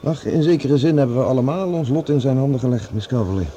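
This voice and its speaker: A man playing an earnest, slightly halting professor in a radio play. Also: medium pitch, warm tone, a little hiss or hum, lightly acted. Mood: friendly, earnest. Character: earnest, awkwardly apologetic male professor. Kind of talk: Dutch radio play acting.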